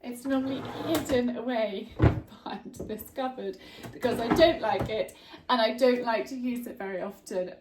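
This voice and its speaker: A woman's voice: a little high, reverberant. A woman in her thirties, congested and bunged up, chatting casually to camera in her home kitchen as she shows a cleaning tip. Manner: chatty, homely, friendly asides. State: congested, bunged up from hay fever. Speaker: home tips vlogger. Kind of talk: casual how-to chat to camera.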